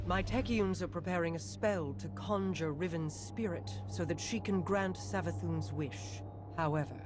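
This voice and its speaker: A woman playing a regal, solemn queen in a video game, speaking in a formal, measured, commanding manner. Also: sits low. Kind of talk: scripted video game dialogue and narration. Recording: loud music behind. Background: loud game music.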